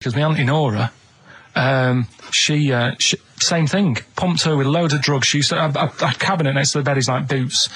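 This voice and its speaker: A man's voice: mid-range voice, normal speed, a bit bright. A man co-hosting a radio show as its producer, chatting casually and telling rambling, naive anecdotes.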